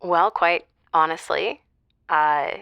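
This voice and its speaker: A woman's voice: on the low side, slow, creaky, smooth, no room sound, dull audio. A woman playing a pushy caller who bluntly needles and teases a radio host. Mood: needling. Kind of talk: improvised audio drama.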